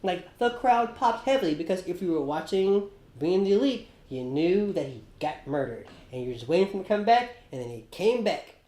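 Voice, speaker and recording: A man co-hosting a casual podcast, talking in an excitable, animated way and swearing casually. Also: sits high, fairly quick, roomy sound. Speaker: podcast co-host.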